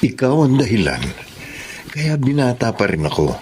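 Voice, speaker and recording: A man's voice: medium pitch, big pitch swings, moderate pace, background noise, natural voice. A male actor playing a boastful, lovestruck, wealthy bachelor in a Filipino drama, self-satisfied and insistent. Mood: smooth, insistent. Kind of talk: Filipino radio drama acting.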